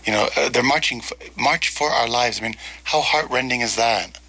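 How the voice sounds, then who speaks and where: Male guest from Egypt, bright mid-range voice, reflective, earnest, deliberate conversational delivery. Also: medium pitch, a little hiss or hum. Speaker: interview guest, writer. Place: small in-person studio.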